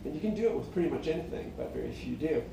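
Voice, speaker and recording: A middle-aged singer-songwriter with a high tenor voice, talking in a meandering, reflective way full of fillers and restarts. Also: male voice, expressive, racing, background noise. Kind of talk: rambling casual monologue. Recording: very echoey room, mic at a distance.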